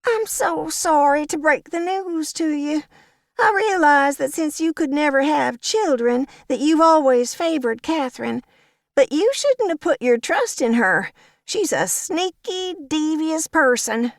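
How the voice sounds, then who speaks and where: A woman reader voicing a gossipy, sanctimonious old woman who puts on false tears, in a high, shrill, theatrical voice. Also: lively intonation, measured pace, no echo, studio quality. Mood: simpering, fake-tearful. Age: older.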